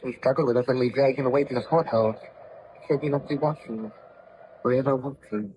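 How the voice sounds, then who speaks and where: Anxious ship's crewman voiced by a man, mid-pitched, respectful and formal, vintage radio-play delivery, dull noisy sound. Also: steady tone, brisk, natural voice. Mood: worried. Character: uneasy, deferential sailor reporting to his captain. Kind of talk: old-time radio drama acting. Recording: muffled, noisy old radio recording.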